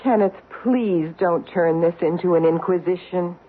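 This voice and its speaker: An American actress playing a wealthy, poised society lover in an old-time radio drama, speaking in a polished, coaxing, theatrical way. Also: female voice, on the low side, moderate pace, breathy edge. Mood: pleading. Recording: muffled, noisy old radio broadcast.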